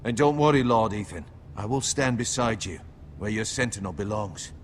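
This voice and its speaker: A man playing a cautious knight and advisor who speaks in a measured, persuasive way. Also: fairly low pitch, slight background noise, lightly acted. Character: shrewd cautious male advisor, sworn knight.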